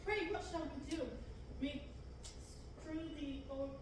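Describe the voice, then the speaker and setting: A woman's voice: high voice, slow. A young woman reading a fretful robot butler. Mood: anxious. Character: fussy anxious male protocol robot. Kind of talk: student table reading in Elizabethan verse. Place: large classroom, reading from a script. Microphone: far camera. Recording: echoey room from far off, hiss.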